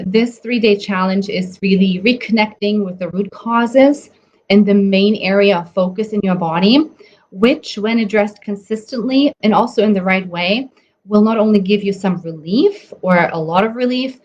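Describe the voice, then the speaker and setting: A woman's voice: mid-range voice, moderate pace, darkish tone. Woman hosting a chatty livestream. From Germany. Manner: chatty, many ums and restarts. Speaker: nutrition coach hosting a livestream. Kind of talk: casual live stream teaching. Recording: slightly dull audio with faint noise.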